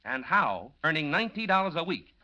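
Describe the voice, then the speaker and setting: Cynical police inspector, 1940s radio serial. Cutting, forceful tone, blunt and insistent, on muffled vintage audio. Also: male voice, fairly high pitch, big pitch swings, brisk, exaggerated voice. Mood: stern, insistent. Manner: blunt, insistent, official questioning. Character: hard-nosed, cynical male police inspector. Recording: dull old radio recording.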